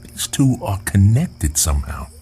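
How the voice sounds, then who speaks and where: A skeptical investigator in a radio drama. His voice sits very low, and he talks with dry sarcasm and small hesitations. Faint music runs underneath. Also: very animated, a little slow, warm tone. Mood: frustrated, dismissive. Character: skeptical, wry male investigator writing a manuscript. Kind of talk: audio drama acting.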